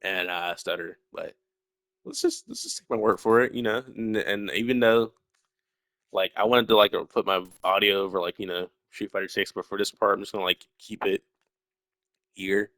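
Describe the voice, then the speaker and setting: A man's voice: lowish voice, expressive, moderate pace, a bit bright, no room sound. A young man with a stutter, talking casually and at length as a solo video creator, full of fillers and restarts. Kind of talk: rambling casual monologue.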